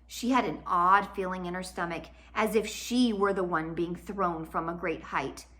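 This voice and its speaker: A woman narrating a children's novel aloud in an expressive, dramatic and emphatic storybook style. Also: fairly low pitch, moderate pace.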